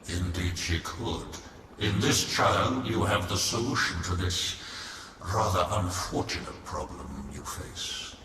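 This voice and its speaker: A man playing a grave, courteous, mysterious council elder in a fantasy video game, formal and measured. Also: low-pitched, pushed and bright, a little hiss or hum, full character voice. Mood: courteous, solemn. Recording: cavernous long reverb over music.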